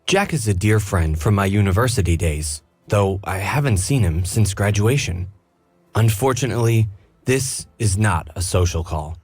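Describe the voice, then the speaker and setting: An actor playing a courteous, inquisitive detective with a slight touch in a game cutscene. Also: male voice, fairly low pitch, lively intonation, a little mellow, breathy edge, no room sound, faint music behind. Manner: polite, measured, inquisitive. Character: courteous male detective, refined and curious. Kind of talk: hidden-object game cutscene.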